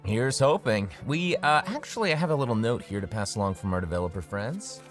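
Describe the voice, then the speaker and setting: A man with a middle-pitched, very expressive voice reads video game update news. He sounds friendly and conversational over clearly audible background music. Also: fairly quick. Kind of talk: scripted co-hosted presentation.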